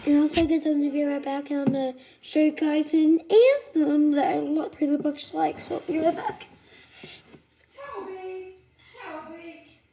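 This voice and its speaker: A child with a high voice talking casually and ramblingly to viewers as a vlogger. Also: a little slow, pauses often, warm tone, a little hiss or hum.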